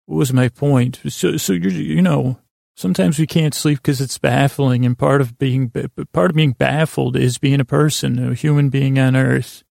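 A man with a creaky voice hosting a podcast, improvising a rambling bedtime monologue, halting and stammering as he repeats words. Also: mid-range voice, fairly even intonation, a little mellow, dry recording, studio quality. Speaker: podcast host.

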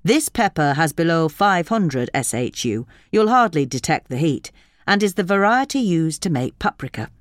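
A woman's voice: deep voice, big pitch swings, normal speed, no room sound, studio quality. A woman reading a gardening article aloud as a scripted magazine narration.

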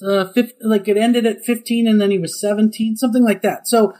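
A middle-aged man with a high tenor voice, a guest voice actor telling chatty anecdotes in an interview, laughing often and acting out the quotes. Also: fairly even intonation, a little fast, dark tone. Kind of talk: casual interview storytelling.

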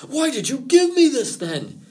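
A man's voice: medium pitch, very animated, unhurried. A man voicing a proud, stubborn male rabbit chief in an animal folktale, insistent and demanding. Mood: anxious. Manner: defiant, insistent, boastful.

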